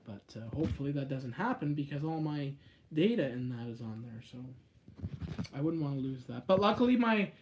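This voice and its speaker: A man with a slightly higher-than-usual voice hosts a live stream and chats casually with his viewers. He rambles from point to point, and his pitch jumps up sharply on the words he stresses. He talks straight into a phone. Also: big pitch swings, fairly quick, background noise. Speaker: YouTube live-stream host.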